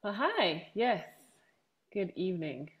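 Low-voiced young Englishwoman. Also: very animated, takes it slowly, muffled recording. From Manchester, England. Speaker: interview guest, panelist. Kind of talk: conversational personal testimony. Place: room at home, indoors, on a video call. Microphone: wired earphones on a video call.

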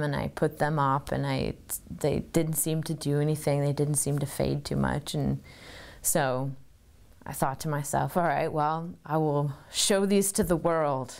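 Wanita bersuara rendah, santai dan penuh jeda, musik gitar di latar. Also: female voice, low voice, slow pace, creaky, faint music behind. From United States. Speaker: artist. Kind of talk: interview, talking about her work. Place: cluttered home studio indoors. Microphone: lapel mic.

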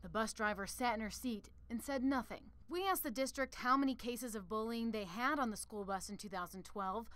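A woman's voice: fairly high pitch, brisk, hardly any pauses, fairly bright tone. A woman news reporter reading scripted narration for a television report.

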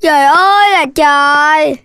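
A woman voicing an excitable, mischievous little puppy child in a children's cartoon, in an exclamatory childlike manner. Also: high-pitched, unhurried, no room sound, clean studio sound. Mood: panicked.

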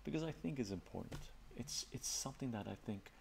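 A middle-aged man, Armenian by birth, chats in a relaxed, rambling way with fillers, as a livestream host in his home study. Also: mid-range voice, a little fast, gravelly, background noise. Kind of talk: casual soft-spoken chat with viewers.

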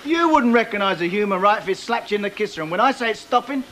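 Bossy factory gaffer, highish voice that swings all over, sarcastic. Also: male voice, animated, fast, a bit bright, slight background noise. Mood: scolding. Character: brusque middle-aged male factory boss. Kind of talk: 1980s TV soap acting. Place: factory floor TV set.

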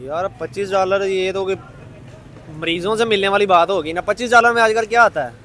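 A man's voice: sits high, a little fast, sharp tone. A young man explaining with disbelief in a street interview at a busy night market, into a handheld mic. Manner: incredulous, explaining. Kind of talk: street vox pop.

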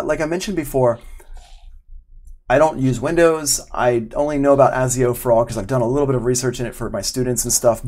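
A man's voice: medium pitch, a little mellow. A man in his forties giving an informal live online tutorial as an audio instructor, explaining step by step in a casual, conversational way. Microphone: external microphone at a desk, with headphones.

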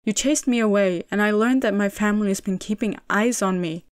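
Woman reading as a stubborn young guy, pleading and worked up, medium pitch, dry close sound. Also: brisk, clear, resonant tone, dry recording, close to an everyday voice. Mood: frustrated. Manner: pleading, emotional delivery. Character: young male city boy, stubborn, hurt and frustrated.

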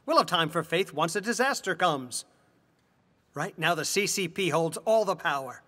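A man's voice: fairly high pitch, lively intonation, moderate pace, sharp tone, husky, full character voice. A man playing an anxious middle-aged husband, pleading and exasperated, in a dubbed stage drama. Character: anxious, pleading husband afraid of losing his job. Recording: reverberant hall-like sound.

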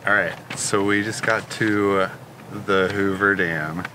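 A man's voice: low-pitched, fairly even intonation, measured pace. A man in his thirties chatting casually to a camera held at arm's length as a travel vlogger. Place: inside a camper and outdoors. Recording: clear background noise on camera mic.

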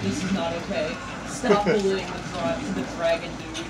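An excitable, teasing young-sounding gamer chatting and joking with friends over a video game, recorded on a phone. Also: medium pitch, moderate pace, far from the mic. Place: busy public gaming venue. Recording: noisy echoey phone recording, loud crowd. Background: loud crowd and game noise.

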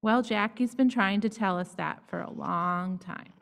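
Woman reading a calm mother, soft, unhurried, gravelly, echoing hall. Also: medium pitch. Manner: soft, reassuring.

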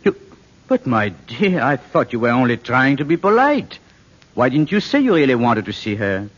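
An actor playing a cold, condescending, manipulative husband in an old radio drama, needling and then sharply commanding. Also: male voice, medium pitch, sing-song, normal speed. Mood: pressing, irritated. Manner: smooth-worded needling, switching to sharp command. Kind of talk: 1940s radio drama acting. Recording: muffled old radio broadcast with long reverb.

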